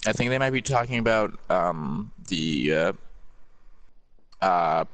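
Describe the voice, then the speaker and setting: A man's voice: low voice, expressive, slow pace, a bit bright, dry recording. A man chatting casually as a co-commentator, putting in brief joking remarks. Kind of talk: casual chat.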